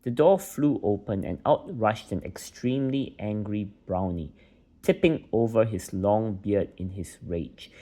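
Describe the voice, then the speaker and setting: A man's voice: lowish voice, warm tone. A man reading a children's bedtime story aloud as a narrator.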